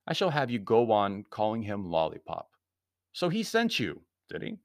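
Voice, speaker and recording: A man voicing a flippant, unflappable gentleman adventurer in a storybook, speaking with breezy, mock-polite sarcasm. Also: on the low side, huge pitch swings, moderate pace, gravelly, no room sound, studio quality, heavily acted. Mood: mocking, amused.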